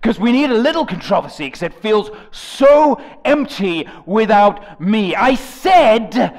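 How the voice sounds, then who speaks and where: A young man performs song lyrics as over-the-top drama, swinging between shouts and hushed low mutters. His voice sits high and carries a gravelly rasp. The pitch leaps all over from line to line. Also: lively intonation, a little slow. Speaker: comedic dramatic reader of rap lyrics.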